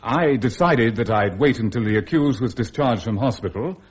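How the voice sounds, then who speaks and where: An actor playing a stiff, formal British army colonel giving measured evidence in a radio drama. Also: male voice, mid-range voice, animated, lightly acted. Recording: dull lo-fi radio drama recording.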